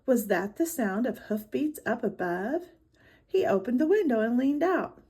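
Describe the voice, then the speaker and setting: A woman reading a storybook aloud to children in expressive narration. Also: fairly high pitch, unhurried, husky. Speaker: storybook reader.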